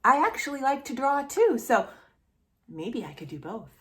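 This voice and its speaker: A woman hosting a read-aloud storytime for young children, speaking in an animated way as if straight to the child listening. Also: sits high, normal speed. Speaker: children's storytime host reading a picture book aloud.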